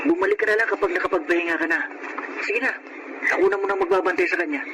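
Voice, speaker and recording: A man plays a grieving older brother in a radio drama. His voice sits fairly high, subdued and gently firm as he coaxes. Clear ambient noise surrounds him. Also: very fast, close to an everyday voice. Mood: weary, gentle. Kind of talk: Filipino radio drama acting. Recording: noisy ambience behind voice.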